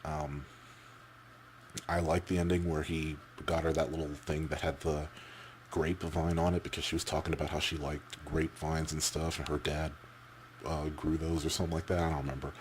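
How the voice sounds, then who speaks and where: Male vlogger, deep voice, halting unscripted chat with repeated words, noisy camera-mic recording. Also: level tone. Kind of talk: casual off-the-cuff opinion chat.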